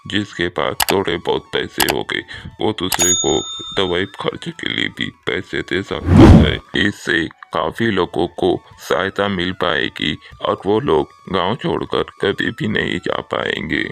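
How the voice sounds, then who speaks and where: A man's voice: low voice, unhurried, vocal fry, fairly bright tone, full character voice. A man playing a wise, benevolent older village headman in a cartoon story, with a fatherly manner. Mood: advising. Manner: fatherly, approving. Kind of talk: Hindi cartoon moral-story acting.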